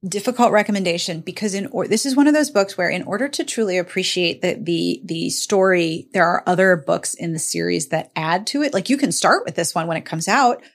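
A woman's voice: fairly low pitch, brisk, hardly any pauses. A woman hosting a podcast, chatting casually and playfully about books, with an emphatic, slangy way of talking. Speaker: podcast host. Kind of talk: casual chat about book recommendations.

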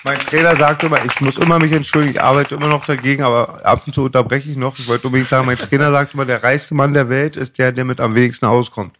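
A man's voice: medium pitch, steady tone, fast, few pauses, fairly bright tone. A man chatting casually in a blunt, joking, slangy way. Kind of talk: casual chat.

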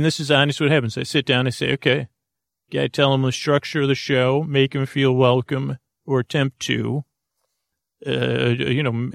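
A man hosting a podcast, rambling through a playful, meandering intro full of restarts and deadpan jokes. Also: mid-range voice, creaky, no room sound, clean studio sound. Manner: meandering, self-interrupting, playful deadpan humor. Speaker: podcast host.

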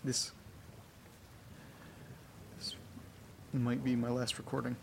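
An actor in a horror audio drama playing a weary, desperate man who records himself at home, muttering in halting, wry, sweary monologue. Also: medium pitch, monotone, gravelly, background noise. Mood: somber, resigned.

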